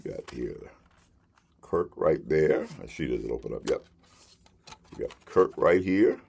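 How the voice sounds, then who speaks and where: A man's voice: rumbling low, lively intonation, measured pace, creaky, darkish tone, husky. A man hosting a casual, unscripted review to camera, talking in a conversational way and repeating phrases for emphasis. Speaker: host reviewing shows and collectible box sets.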